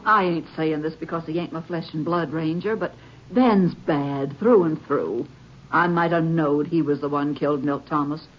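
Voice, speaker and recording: A woman's voice: low voice, moderate pace, slight background noise, lightly acted. An actress playing a plain-spoken, disapproving middle-aged country woman with a drawl in a radio western. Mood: bitter. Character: plain-spoken rural stepmother, disapproving and forthcoming. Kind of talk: old-time radio western acting. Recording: dull old radio recording.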